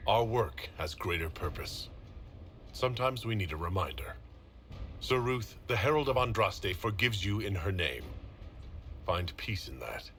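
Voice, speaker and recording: A composed fantasy game leader with a lowish, animated voice. He speaks with measured authority, with faint noise behind him. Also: heavily acted. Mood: solemn. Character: composed, commanding male leader of a fantasy order. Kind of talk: video game voice acting.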